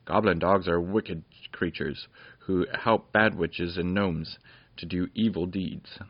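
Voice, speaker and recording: A man voicing a stern fairy lord from a storybook, commanding and firm, in a fairly low voice. The sound is dull and dry. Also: fairly even intonation, slow, dry recording, exaggerated voice. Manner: stern, declaring.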